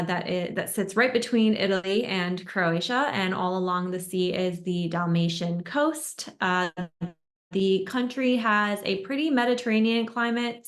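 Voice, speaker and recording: American woman presenting on a video call. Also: mid-range voice. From United States. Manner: friendly, many ums and uhs. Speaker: tour destination expert. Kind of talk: webinar presentation. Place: indoors at home in a room.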